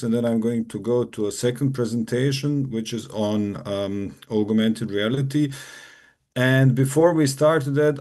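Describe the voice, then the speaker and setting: A man delivers a neurosurgery lecture over a video call. His mellow, dark-toned voice sits at an ordinary pitch. He speaks in a measured, formal way, often pausing with "uh". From Bosnia. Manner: measured, formal, with frequent "uh" pauses. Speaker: neurosurgeon giving a guest lecture. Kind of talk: academic presentation.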